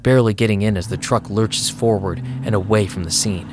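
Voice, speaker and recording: A man's voice: fairly low pitch, fairly even intonation, fairly quick, noisy background, lightly acted. An actor playing a weary, rattled vampire club DJ who narrates his night in a wry first-person audio drama style. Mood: tense, wry. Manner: wry, sardonic. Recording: music behind the voice.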